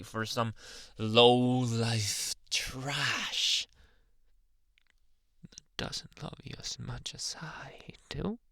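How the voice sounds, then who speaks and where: A man playing a jealous, possessive, unhinged young anime boyfriend in an ASMR roleplay, slipping between low speech and breathy whispers, menacing yet coaxing, close to the mic. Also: bright, forceful tone, dry recording, full character voice. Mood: controlling, contemptuous. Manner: menacing, teasing, drawn-out coaxing endearments. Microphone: close-up ASMR microphone, dry.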